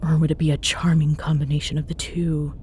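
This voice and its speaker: Low-voiced teasing young woman, acted, over rumble. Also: little pitch movement, fairly quick, a little mellow, close to an everyday voice. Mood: amused, teasing. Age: young adult. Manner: sarcastic, bantering, casual with sighs and murmurs. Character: teasing tsundere young woman ghost hunter, bossy but fond. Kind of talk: ASMR audio roleplay, intimate one-sided chat. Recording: clear background noise with low rumble.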